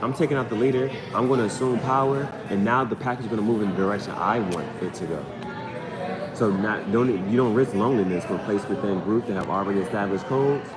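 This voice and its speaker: A man's voice: on the low side, fairly quick, over a noisy crowd. A man hosting a casual live tarot reading, partly reading aloud and partly improvising, repeating phrases for emphasis. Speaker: tarot reader hosting a livestream.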